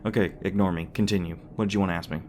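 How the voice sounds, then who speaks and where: A man's voice: sits low, fairly even intonation, rapid-fire, a little mellow, slight background noise, natural voice. A man playing a young demon boyfriend in an ASMR roleplay, speaking casually with teasing jokes, sweetness and hesitant asides. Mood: earnest, explaining.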